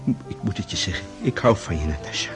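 An actor in a radio drama playing a lovesick, gallant army captain with an ardent, theatrical delivery. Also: male voice, low-pitched, expressive, fast. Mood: ardent, despairing. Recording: prominent music behind the voice. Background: music, musical instrument.

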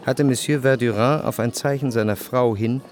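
An actor playing a reflective, melancholy male narrator, reading scripted first-person literary prose for a radio drama in measured, formal phrasing. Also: mid-range voice, fairly even intonation, a little mellow, soft background music, natural voice. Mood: detached recollection.

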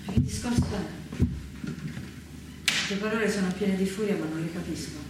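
An actress playing a faithful, wronged young wife in a stage tragedy, protesting with passion. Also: female voice, mid-range voice, moderate pace, natural voice. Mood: bewildered, wary. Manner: impassioned, protesting. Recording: very echoey, distant, noisy stage recording.

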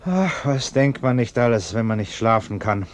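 An actor playing a defensive, sententious middle-aged bourgeois husband in a German radio play, blustering and indignant. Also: male voice, medium pitch, animated, moderate pace, lightly acted. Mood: agitated.

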